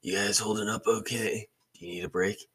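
A man voicing a calm, easygoing male ninja squad leader in an amateur story reading. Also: fairly low pitch, fairly quick, husky, pitched above the performer's own voice. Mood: matter-of-fact.

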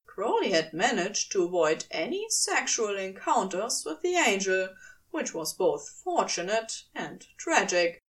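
A woman reading a story aloud as a podfic narrator, in an expressive, deliberate storytelling manner. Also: on the high side, far from the mic. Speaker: podfic reader. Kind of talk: scripted fiction narration, audiobook reading.

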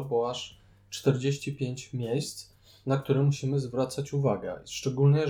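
Man, medium pitch, dark relaxed timbre, analytical, casual podcast conversation. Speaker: podcast co-host. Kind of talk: casual board game discussion.

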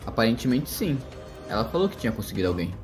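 A man's voice: medium pitch, very animated, fast, soft background music, lightly acted. A man playing a short young boy, a casual gamer friend, in an amateur audio drama. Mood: casual, curious.

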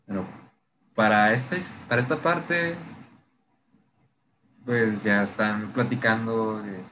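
A man's voice: lowish voice, slow pace, bright, forceful tone, slight background noise. A young man with a Mexican accent co-hosting a podcast, casually recapping a show with heavy slang and swearing. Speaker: podcast co-host. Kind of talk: casual chat recapping a TV series.

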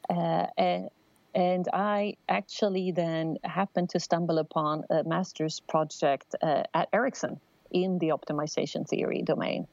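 A woman's voice: lowish voice, steady tone, unhurried, vocal fry, a little mellow, no room sound, highs cut off. A middle-aged woman from Sweden with a Swedish accent, giving a thoughtful interview answer with many fillers and restarts. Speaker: telecom executive guest. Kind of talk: conversational interview.

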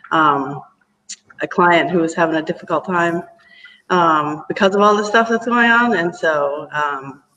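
A man's voice: high voice, pushed and bright, far from the mic, dull audio. A man talking earnestly about breathing as a teacher on a panel discussion, rambling a bit and laughing often.

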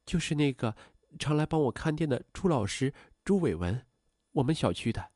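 A soft-spoken middle-aged mother in an audio story, voiced by a male narrator. The delivery is gentle, bashful and coaxing, with lively pitch movement. The sound is close and dry. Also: normal speed, no echo. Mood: bashful, hesitant.

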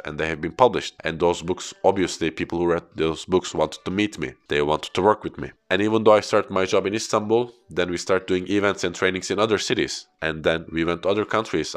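Deep-voiced Turkish man telling his story. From Turkey. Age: 30s. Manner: earnest, reflective storytelling. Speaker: podcast host and corporate trainer. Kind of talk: solo podcast monologue.

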